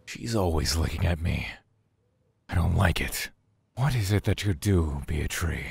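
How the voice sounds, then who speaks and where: An actor playing a brooding, aloof god in an audio drama, wary yet curious, with formal, archaic phrasing. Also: male voice, sits low, lively intonation, a little slow, gravelly, a little mellow, slightly rough, dry recording, heavily acted. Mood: wary, cold.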